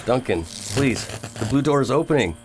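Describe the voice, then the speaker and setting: Guy acting worried young student, mid-low voice, pleading n urgent, bit of noise behind. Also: expressive, moderate pace, close to an everyday voice. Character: anxious young male student, pleading and warning. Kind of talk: radio drama acting.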